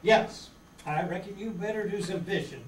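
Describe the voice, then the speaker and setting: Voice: medium pitch, moderate pace, lightly acted. An amateur performer playing a bossy, practical young castaway leader in an old-time children's radio serial. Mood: practical, brisk. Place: community meeting room before an audience. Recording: echoey room, distant camera mic, hiss.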